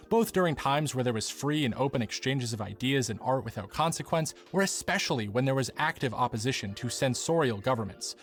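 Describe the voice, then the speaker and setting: A man narrating a scripted documentary-style video essay in an earnest, articulate manner. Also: mid-range voice.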